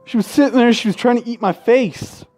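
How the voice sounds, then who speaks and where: A man's voice: very high-pitched, a little fast, a little mellow. A man reading a visual novel aloud as a let's-play host, with casual, profane asides. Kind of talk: reading game text aloud with casual commentary.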